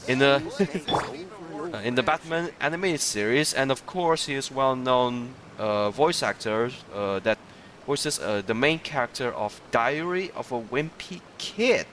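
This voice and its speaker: A man giving casual, rambling playthrough commentary on a game, often halting and chuckling between phrases. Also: medium pitch, a bit bright. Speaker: gaming streamer.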